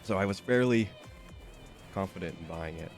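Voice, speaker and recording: A man's voice: sits low, moderate pace, faint music behind. A young man with a stuffy, slightly nasal voice, chatting casually and rambling with lots of fillers while streaming a game. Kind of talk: casual chat while gaming.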